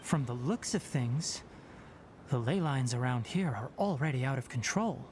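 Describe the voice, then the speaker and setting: A man's voice: mid-range voice, animated, moderate pace, noisy background, natural voice. An actor voicing a young, fox-eared male forest ranger in a video game, who talks in a precise, explanatory way. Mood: explaining. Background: game music.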